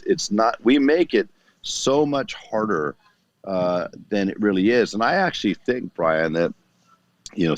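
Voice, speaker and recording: A low-pitched man speaks as a motivational coach, driving points home with repeated phrases. The sound is close and dry, though somewhat dull and muffled. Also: dry recording. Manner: emphatic, repeats phrases for rhetorical effect. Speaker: guest business coach. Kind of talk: motivational talk on a podcast.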